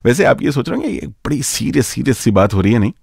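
A man's voice: mid-range voice, big pitch swings, quick pace, vocal fry, darkish tone, husky, no echo, clean studio sound. A man hosting a radio show, presenting scripted material in a playful, engaging way. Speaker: radio host.

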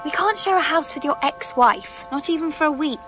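Young wife played by an actress, radio drama: volatile, fretful, high, animated, music underneath. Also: female voice, fairly quick, close to an everyday voice. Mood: indignant.

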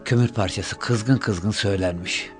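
A man's voice: on the low side, moderate pace. A man narrating a scripted children's fairy tale as a storyteller, with music behind him.

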